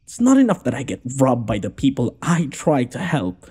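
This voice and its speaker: A man's voice: medium pitch, wildly swooping pitch, normal speed, warm tone, breathy edge. A man playing a haughty, wounded noble lord in an audio roleplay, with a theatrical, sarcastic and exasperated delivery. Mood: offended, exasperated. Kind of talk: scripted audio roleplay addressed to the listener.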